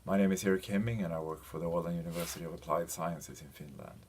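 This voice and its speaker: A man presenting an informal slide lecture as a teacher, speaking in a hesitant, halting way with frequent pauses. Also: low voice, a little mellow. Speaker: university lecturer presenting a lesson. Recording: clear background noise.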